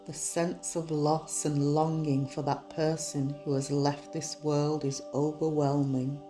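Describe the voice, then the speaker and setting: A woman guiding a meditation in a soothing, measured, unhurried manner. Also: low-pitched, little pitch movement. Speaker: meditation guide. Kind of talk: guided meditation narration. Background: soft soothing music.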